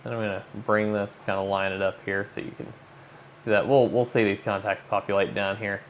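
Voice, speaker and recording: A man's voice: fairly low pitch, level tone, brisk. A man hosting a hobby livestream, talking informally and thinking aloud as he walks viewers through a demo. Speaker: livestream host, amateur radio operator. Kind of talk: casual livestream chat, walking through a demo. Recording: noticeable background noise.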